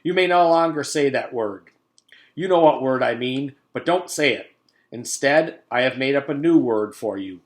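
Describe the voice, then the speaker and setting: A pompous school principal from a children's storybook, acted out by a man reading aloud. He declaims with heavy emphasis, louder and a little higher, full of bluster and self-importance. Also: animated, normal speed, close to an everyday voice. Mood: loud, declaring.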